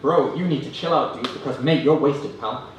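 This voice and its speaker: Deadpan man doing stand-up in an echoey room. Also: medium pitch, moderate pace, far from the mic. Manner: hesitant, self-deprecating between readings. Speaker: stand-up comedian reading own mock novels. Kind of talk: stand-up comedy, deadpan reading of parody prose. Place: student union comedy stand-up event, indoors. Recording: roomy, noisy live room recording from afar. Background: audience laughter, room noise.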